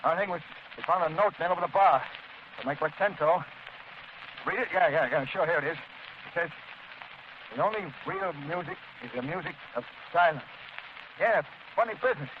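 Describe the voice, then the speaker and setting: A man's voice: on the high side, a little fast, long pauses, close to an everyday voice. Guy playing cop reporting in to the chief, old radio drama, muffled with faint hiss. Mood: matter-of-fact. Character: policeman reporting to his chief by telephone. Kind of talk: 1930s radio acting. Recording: muffled, hissy 1930s radio recording.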